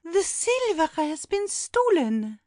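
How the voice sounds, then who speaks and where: High-pitched, fretful old housekeeper. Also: female voice, big pitch swings, a little mellow, dry recording, studio quality, heavily acted. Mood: horrified. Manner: exclamatory, gasping, drawn-out lamenting. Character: fretful, alarmed elderly housekeeper woman, prone to outcry. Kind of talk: dramatised classic audiobook acting.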